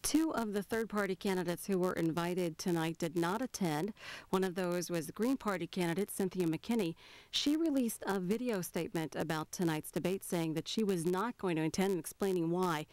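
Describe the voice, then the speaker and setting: A woman's voice: lowish voice, moderate pace, darkish tone, no echo. American woman hosting a live news call-in. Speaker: TV call-in show host. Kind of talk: live news presenting, taking viewer calls.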